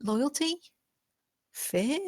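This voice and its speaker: A woman's voice: high voice, lively intonation, drawn-out words, a little mellow, dry recording, clean studio sound, full character voice. A woman playing a defiant female servant who questions earnestly and pointedly in a gothic drama table read. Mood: accusing, defiant.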